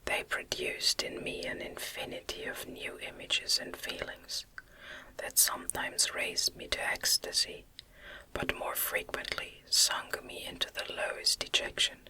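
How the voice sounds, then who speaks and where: Slow, bright whispering woman. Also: poor audio. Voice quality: breathy, unvoiced whisper. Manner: slow, gentle, measured. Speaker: audiobook narrator. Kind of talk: ASMR whispered reading of a gothic novel.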